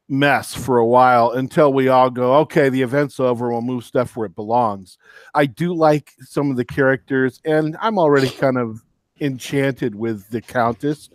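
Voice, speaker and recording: A man's voice: mid-range voice, dry recording. An enthusiastic man co-hosts a casual podcast, rambling through his points and restarting his sentences. His voice sits mid-range and sounds close and dry on the mic. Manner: enthusiastic, rambling, self-interrupting. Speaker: co-host.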